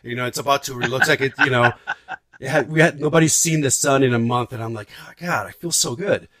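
A man's voice: medium pitch, brisk, bright, forceful tone. A man in his forties from Puerto Rico chatting casually and expressively as a podcast host, with some swearing. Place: home room, on a video call. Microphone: large desk microphone, close.

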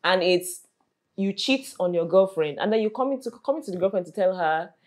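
Fast, insistent young woman. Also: lowish voice, expressive. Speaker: panelist on a talk show. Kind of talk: casual group debate. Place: small hair salon, indoors.

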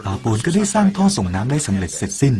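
A man's voice: mid-range voice, sing-song, very fast. A man narrating a parable in an earnest, persuasive way and speaking directly to the listener. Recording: soft music behind the voice.

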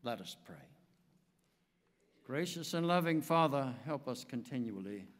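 Slow, solemn older preacher man in reverberant church. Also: mid-range voice, expressive. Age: 70s. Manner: solemn, deliberate, measured phrasing. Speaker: pastor. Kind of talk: sermon and prayer. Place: large church sanctuary, indoors. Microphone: pulpit gooseneck microphone.

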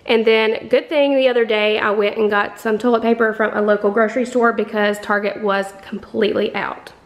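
A woman, chatting excitedly to viewers in a casual haul vlog. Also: mid-range voice, fairly quick. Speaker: vlogger. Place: home kitchen and store aisles.

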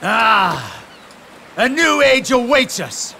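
A man's voice: very high-pitched, sing-song, very slow, gravelly, sharp tone, a little hiss or hum, full character voice. A man acting a proud, eager young warlord in a video game, proclaiming exultantly.

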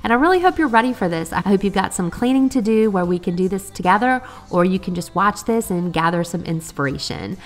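A woman's voice: medium pitch, expressive, a little fast, few pauses. A woman in her thirties narrating her cleaning vlog in a friendly, upbeat way while getting over a cold. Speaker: cleaning vlogger.

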